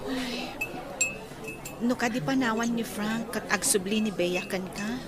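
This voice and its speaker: Actress as high-voiced, agreeable young Ilocano woman. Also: unhurried, heavy background noise, natural voice. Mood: chatty. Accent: Ilocano Filipino. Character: agreeable young woman student, sweet-tempered girlfriend. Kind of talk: Ilocano radio drama acting.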